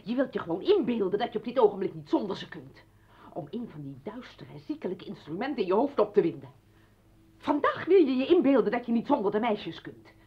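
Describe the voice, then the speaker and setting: An actress playing an exasperated, accusing mother confronting her ex-husband in a radio play, with a husky voice. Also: medium pitch, sing-song, fairly quick. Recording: dull old radio recording.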